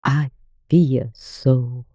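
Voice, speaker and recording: A woman puts on a sociable old English lady for an audiobook. She gushes slowly in a mellow voice, with a very lively melody. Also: lowish voice, no room sound, studio quality. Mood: amused, apologetic. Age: older. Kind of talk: audiobook character voice.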